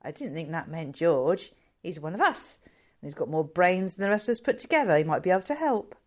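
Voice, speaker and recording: A woman's voice: on the low side, big pitch swings, fairly quick, darkish tone. A woman voicing an eager, loyal working-class boy in a story reading, informal and earnest. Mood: defensive. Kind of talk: character dialogue in a novel reading.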